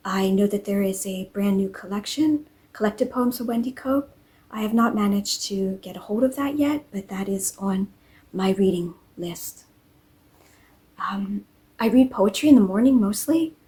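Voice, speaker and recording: A woman narrates her week at home in a gentle, unhurried voice. Her pitch sits in an ordinary middle range, and her delivery stays soft-spoken and calm throughout. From English-speaking country. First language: English. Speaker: vlogger. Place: home in Norway.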